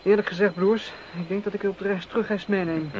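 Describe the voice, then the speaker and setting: Man as high-voiced, earnest young romantic. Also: steady tone, quick pace, darkish tone, close to an everyday voice. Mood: dreamy. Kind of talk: radio play acting. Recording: noisy, muffled old radio play recording.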